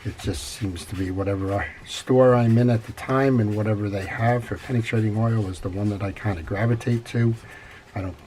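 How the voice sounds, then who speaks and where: An older man with a head cold, congested, hosting a relaxed, rambling how-to on servicing a fishing reel at his workbench. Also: on the low side, fairly quick, a little hiss or hum, low-quality recording. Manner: relaxed, rambling with frequent fillers. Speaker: fishing reel repair channel host. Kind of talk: casual how-to narration. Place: workshop bench.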